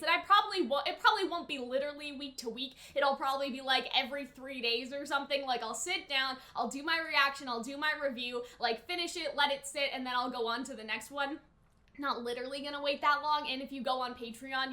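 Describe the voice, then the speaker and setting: A woman's voice: sits high, sharp tone. A young woman hosting anime reactions, talking in a casual, chatty way and peppering her sentences with "like". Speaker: anime reaction and review host. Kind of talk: casual chat and reaction commentary.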